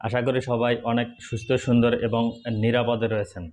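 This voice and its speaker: A man's voice: medium pitch, level tone, fairly quick, darkish tone. A man hosting a tech tips channel, giving step-by-step phone instructions straight to viewers. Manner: addresses viewers directly, instructional. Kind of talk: step-by-step phone tutorial narration.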